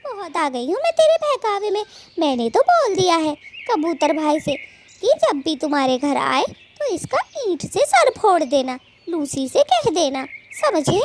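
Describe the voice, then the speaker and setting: A woman voicing a feisty young female cartoon bird, a jealous wife, with a sharp-tongued, dramatic, scolding way of talking. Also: very high voice, big pitch swings, unhurried, a little hiss or hum, heavily acted. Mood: angry scolding. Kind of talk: Hindi children's cartoon story dialogue.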